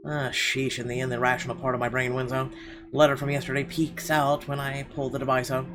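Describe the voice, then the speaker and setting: A man reading a horror visual novel aloud in an expressive, theatrical narration with weighty pauses. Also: medium pitch. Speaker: let's player. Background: game music.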